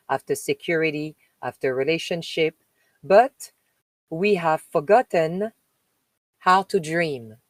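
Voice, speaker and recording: A woman from France with a French accent, a spiritual host reading a text aloud and stopping for chatty asides, with slow, emphatic delivery. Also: deep voice, no room sound. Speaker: spiritual live-stream host. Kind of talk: reading aloud with spoken commentary.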